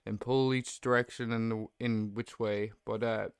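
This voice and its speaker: A man's voice: lowish voice, flat delivery, normal speed, smooth, no room sound, clean studio sound. A man speaking as a guest in a casual fan discussion, agreeable and appreciative.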